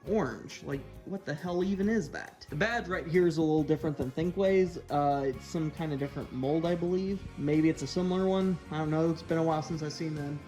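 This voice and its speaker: A man's voice: on the high side, fairly quick, hardly any pauses, darkish tone. A man giving a casual hands-on toy review as the host, sharing opinions in a hesitant, meandering way. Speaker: toy collector reviewer hosting an unboxing video. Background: background music.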